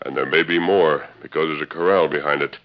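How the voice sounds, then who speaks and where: An actor playing a heroic, steady masked western lawman with a commanding, measured delivery in 1940s radio drama style. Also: a bass voice. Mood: calm, deliberate. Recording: muffled, hissy 1940s radio recording.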